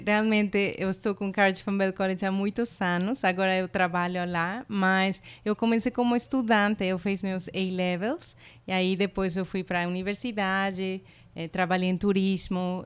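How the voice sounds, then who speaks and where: A middle-aged woman with a Spanish accent speaks in a smooth, clear voice. She is a native Spanish speaker and chats in an interview with enthusiasm, often filling pauses with little tags and hesitations. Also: medium pitch. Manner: warm, enthusiastic, many fillers like "sabe" and "eh". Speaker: college international representative. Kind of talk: casual interview.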